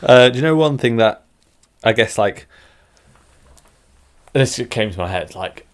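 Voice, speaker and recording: A man's voice: medium pitch, lively intonation, a little slow. A young man, co-hosting a podcast in laid-back, easygoing chat. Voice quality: croaky. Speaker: podcast co-host. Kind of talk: casual chat.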